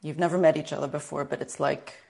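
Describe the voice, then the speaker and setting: Female guest, American accent, low gravelly register, thoughtful conversational storytelling with frequent pauses. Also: steady tone, a little mellow. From Northern Virginia, United States. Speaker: guest, martial arts and spiritual teacher. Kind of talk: reflective personal storytelling in conversation.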